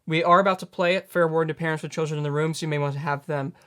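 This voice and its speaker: Man, late teens, news commentary to camera, slightly high voice, earnest, self-correcting. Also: fairly even intonation, racing, a bit bright, clean tone. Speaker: news host.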